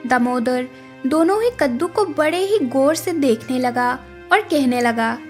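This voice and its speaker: A woman narrates a scripted moral tale. Her voice sits high, and light music plays underneath. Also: lively intonation, unhurried, a little mellow. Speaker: story narrator.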